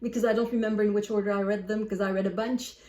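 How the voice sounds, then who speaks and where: Echoey room, distant mic, woman, fairly high voice, casual book vlog chat, animated and wry about herself. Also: quick pace. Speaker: book vlogger. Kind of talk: casual chat to camera, answering book prompts.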